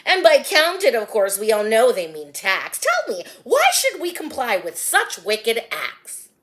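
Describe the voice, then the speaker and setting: An indignant grown man from the town calls out loudly, his voice pushed high with excitement, as a woman plays him. His pitch jumps widely from word to word. It is a storybook reading. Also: a little slow, exaggerated voice. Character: loud, indignant grown man among the townsfolk.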